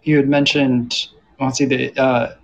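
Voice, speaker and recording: A man's voice: mid-range voice, level tone, unhurried, lo-fi sound. A man moderating a panel in a conversational way, feeling out his questions as he speaks. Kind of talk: conversational interviewing.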